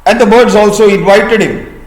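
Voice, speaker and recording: A man with an Indian accent telling a folk tale to listeners in a deliberate, teacherly way with pauses. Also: sits high. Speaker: storyteller and host. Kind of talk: storytelling of a folk tale for listeners learning vocabulary. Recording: echoey room.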